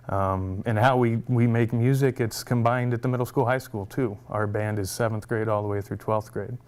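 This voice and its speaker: A man's voice: on the low side, darkish tone. A young man, a music teacher, answering interview questions in a friendly, upbeat way and laughing easily.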